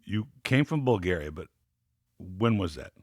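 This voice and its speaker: A man's voice: deep voice, animated, a little slow, no room sound. A middle-aged man hosting a wine podcast in a studio, upbeat and rambling with frequent restarts. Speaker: podcast host. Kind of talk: casual interview chat.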